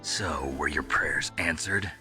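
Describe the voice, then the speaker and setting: An actor playing a gruff, weary soldier hero in a video game cutscene, speaking in terse, skeptical, hard-boiled questions. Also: male voice, very deep voice, slow pace. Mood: troubled, questioning. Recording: dramatic music under the voice. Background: dramatic game score.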